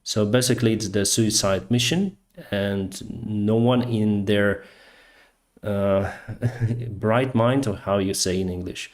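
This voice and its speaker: A Ukrainian-accented man talks slowly and unhurriedly as host of a casual live stream. His voice sits fairly low. He gropes for words in English, a language he learned later, and leaves many hesitant "um"s and "uh"s. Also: steady tone.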